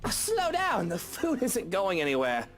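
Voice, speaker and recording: A man voicing a gruff but indulgent, foul-mouthed godfather, teasing and exasperated, in a visual novel game. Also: high voice, huge pitch swings, a little slow, fairly bright tone, faint music behind, pitched above the performer's own voice, heavily acted.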